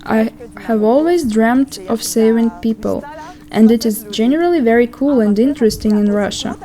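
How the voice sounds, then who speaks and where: Mellow, slightly high female voice-over narrator. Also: normal speed. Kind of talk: scripted promotional narration.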